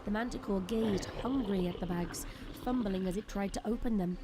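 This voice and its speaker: A woman's voice: medium pitch, moderate pace, noisy background. A woman giving scripted, dramatic story narration for an audio drama. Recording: loud music under the voice.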